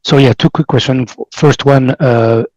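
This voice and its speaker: A man's voice: medium pitch, fairly even intonation, normal speed, a little mellow, no echo, highs cut off. A man asking questions as an analyst on an earnings call, putting them politely in short, piecemeal phrases.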